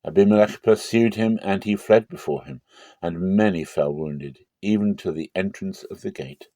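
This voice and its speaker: A man giving a dramatic scripture reading as narrator, with measured, solemn storytelling. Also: low-pitched, dark tone, dry recording. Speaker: Bible reader.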